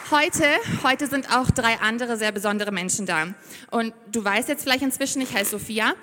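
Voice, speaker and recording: A woman's voice: on the high side, a bit bright. A young woman from Siberia preaches a sermon on a church stage. She speaks in an effusive, heartfelt and enthusiastic way and reads aloud from the Bible. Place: church stage before a congregation. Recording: reverberant church hall.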